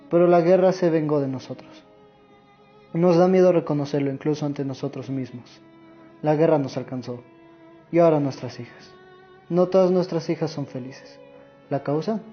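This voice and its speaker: A man's voice: on the high side, close to an everyday voice. A man playing an earnest, sorrowful old war veteran and grandfather in a radio drama monologue. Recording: muffled audio with music underneath.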